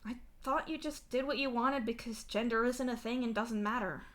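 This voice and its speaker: A woman's voice: fairly high pitch, normal speed, a bit bright, voice pushed up, close to an everyday voice. A woman voicing an anxious, defensive troll protagonist in a story, protesting and pleading in a small voice. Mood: small, helpless.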